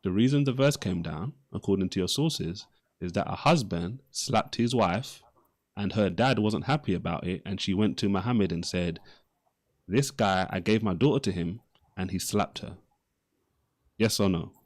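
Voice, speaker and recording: A man's voice: deep voice, moderate pace, a little mellow. A man debating religion live, persistently questioning his opponent and repeating his questions.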